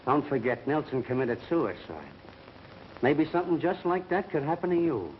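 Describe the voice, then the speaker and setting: A sly, threatening gangster, acted by a man in vintage crime picture style. His voice is a touch high for a man and moves animatedly as he insinuates. The old recording is dull with faint noise. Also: animated, moderate pace, lightly acted. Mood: menacing. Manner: insinuating, tough. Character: menacing, sly racketeer making veiled threats. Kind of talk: 1930s crime film acting. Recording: muffled 1930s film sound, faint hiss.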